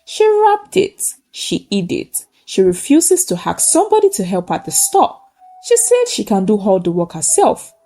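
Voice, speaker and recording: A woman narrating a scripted folktale in short, measured, dramatic phrases. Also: mid-range voice, huge pitch swings, warm tone, faint music behind. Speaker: storyteller.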